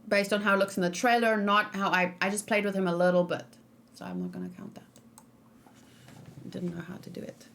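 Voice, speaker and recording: A woman's voice: mid-range voice, lively intonation, fairly quick, pauses often, a bit bright, a little hiss or hum. A woman streamer giving a casual, rambling reaction to a game trailer, full of ums and restarts. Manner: rambling, self-correcting, with frequent ums. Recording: faint background music.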